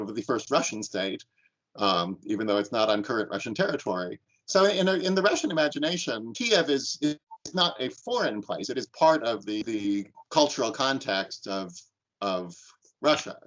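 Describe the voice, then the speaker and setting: A man's voice: mid-range voice, fairly bright tone, highs cut off. A man instructor teaching an online class and leading discussion, talking thoughtfully with frequent restarts and ums.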